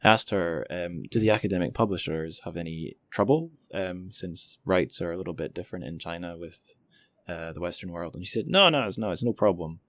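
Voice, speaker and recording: A man's voice: low voice, fairly quick, fairly bright tone, no echo. A man hosting a podcast interview, chatting casually and thoughtfully in a hesitant, roundabout way.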